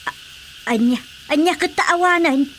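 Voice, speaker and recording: An actress playing a nagging, exasperated older wife in a radio comedy drama. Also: female voice, very high voice, normal speed, slight background noise. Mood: scolding.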